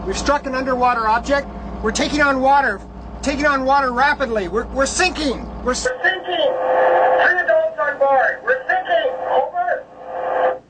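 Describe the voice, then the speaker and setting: Woman acting a panicked high-voiced boat skipper, noisy radio. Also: normal speed, pauses often, close to an everyday voice. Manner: urgent, repeating herself, stammering. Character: panicked female skipper of a sinking fishing boat. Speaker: boat radio operator making a distress call. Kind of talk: dramatized marine radio distress call. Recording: noisy radio with engine rumble.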